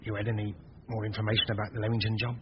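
A man's voice: on the low side, monotone, rapid-fire, close to an everyday voice. A man playing an uneasy, blunt innkeeper mixed up in crime in a 1940s radio drama, with an edgy manner. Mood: uneasy, furtive. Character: uneasy, blunt male innkeeper and nervous criminal accomplice. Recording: dull, noisy old 1940s radio recording.

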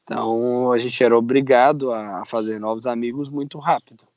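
Relaxed Brazilian man from Minas Gerais. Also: medium pitch, brisk, no echo. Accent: Minas Gerais Brazilian Portuguese. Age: 30s. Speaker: podcast guest, biologist and reptile breeder. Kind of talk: casual interview chat. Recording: dull lo-fi sound, dry.

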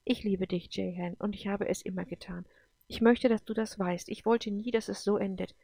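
Woman narrator as devoted sorrowful heroine, guilt-ridden, soft, breathy, earnest, creaky edges, dry close recording. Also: mid-range voice, fairly even intonation, moderate pace, no room sound. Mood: tearful, remorseful.

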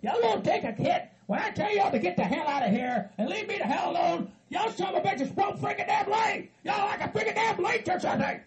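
A man voicing an angry, foul-mouthed Southern hillbilly who rants and shouts as if deeply wronged. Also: big pitch swings, fairly quick, gravelly, over a noisy crowd, full character voice. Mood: irritated, aggrieved. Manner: hot-tempered, shouting, profane.

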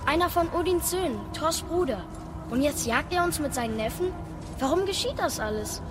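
A woman's voice: high-pitched, expressive, normal speed, noisy background. A woman playing a curious, earnest young boy, the son of a warrior, in a German audio drama. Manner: inquisitive, earnest.

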